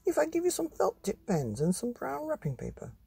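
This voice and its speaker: A man's voice: very high-pitched, huge pitch swings, fairly quick, vocal fry, dark tone, husky, pitched above the performer's own voice, full character voice. A man voicing a curious, fussy, coaxing male doctor in a storybook. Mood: coaxing, eager.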